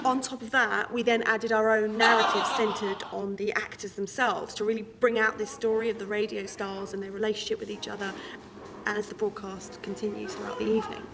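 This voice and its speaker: A woman's voice: medium pitch, level tone, a little fast, creaky. A woman from a theatre creative team explaining a production in a documentary interview. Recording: music playing behind the voice. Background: background music.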